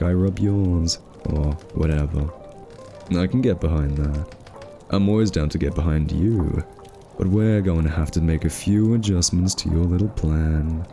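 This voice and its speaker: Man acting a deep-voiced, smug flirty thief. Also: mellow. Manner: teasing, drawling, suggestive innuendo. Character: smug, flirty male thief, teasing and scheming. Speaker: voice actor. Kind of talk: ASMR roleplay, scripted character acting.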